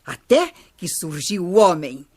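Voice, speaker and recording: An actress playing a kindly older Brazilian grandmother who teaches through stories in a children's radio drama, measured and expressive. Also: on the low side, husky, heavily acted. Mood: patient, instructive. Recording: music bed under voice.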